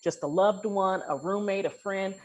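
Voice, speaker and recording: A woman's voice: medium pitch, moderate pace. A woman hosting a casual interview podcast, talking in an affirming, explanatory way. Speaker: podcast host.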